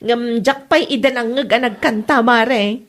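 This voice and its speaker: A woman's voice: fairly high pitch, a little slow, close to an everyday voice. A woman with an Ilocano accent playing a sceptical, gossiping friend in a comedy radio drama. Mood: scornful gossip.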